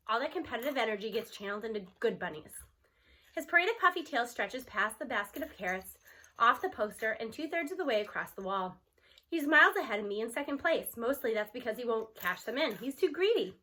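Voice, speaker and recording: A woman's voice: a little high, sharp tone. An American woman reading a children's novel aloud, telling it expressively in the first person as a teenage narrator. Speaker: storybook reader.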